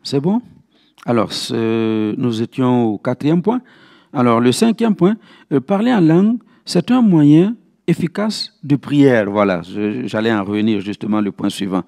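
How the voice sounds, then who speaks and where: Male pastor for Bible study teaching; creaky, relaxed voice, didactic and repetitive for emphasis. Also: medium pitch, unhurried. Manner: didactic, repeats key phrases for emphasis. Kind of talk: Bible teaching with verse citations.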